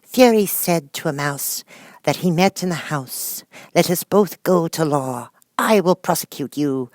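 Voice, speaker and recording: A man voicing a touchy, gloomy storybook Mouse with a stern, measured, declamatory delivery. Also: on the high side, expressive, no room sound, studio quality, pitched above the performer's own voice. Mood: declaiming.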